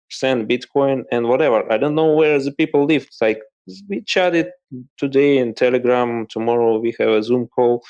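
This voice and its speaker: Guest CEO, Russian man, accented English, mid voice, plain halting phrasing. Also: mid-range voice, darkish tone. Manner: plain, slightly halting non-native phrasing. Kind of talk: casual interview chat.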